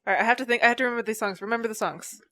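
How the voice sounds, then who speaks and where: A woman's voice: a little high, very fast, pushed and bright. A woman playing a tabletop game, chatting casually and jokily with the group. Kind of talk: casual joking group chat.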